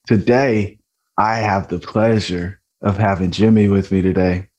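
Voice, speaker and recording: Male podcast host, lowish voice, straight-talking coach. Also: steady tone, unhurried, a little mellow. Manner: direct, coaching tone. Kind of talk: casual podcast advice chat.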